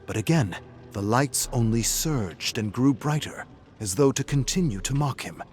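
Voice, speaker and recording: A man's voice: medium pitch, expressive, moderate pace, darkish tone, slight background noise. A man reading scripted horror fiction as a narrator, in a dramatic, suspenseful style.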